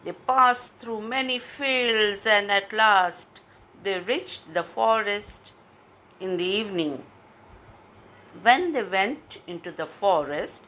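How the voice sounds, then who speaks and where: A woman reading scripted moral stories for children, deliberately and phrase by phrase, as a storyteller. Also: a little high, sharp tone.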